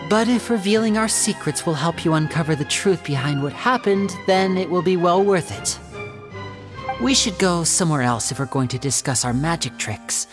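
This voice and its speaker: An actor playing a polite, earnest young male stage magician in a video game, speaking in a courteous, measured and gently theatrical way. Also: fairly high pitch, animated, over music, lightly acted. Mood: wistful, proud.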